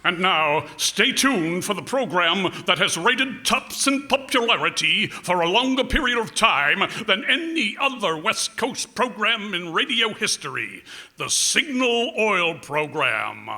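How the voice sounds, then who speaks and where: Guy doing 1940s radio announcing, dramatic, slow, really big swings in pitch. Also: very animated, pushed and bright. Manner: dramatic, portentous.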